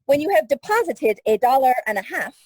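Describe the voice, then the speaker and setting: An actress playing a formal, impersonal telephone operator in an old-style radio drama, with a measured switchboard delivery. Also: high-pitched, sing-song, a little mellow, slightly rough, no echo, full character voice. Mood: businesslike. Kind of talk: 1940s radio drama acting.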